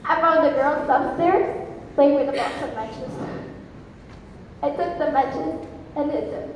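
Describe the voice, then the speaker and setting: A woman's voice: sits high, a little slow. A young girl playing a distraught, defiant bereaved mother in a theatrical stage monologue, with insistent delivery. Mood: wistful, grieving. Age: child, about 11 or 12. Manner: theatrical, insistent rhetorical questioning. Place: school auditorium. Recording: echoey hall from far off, faint noise.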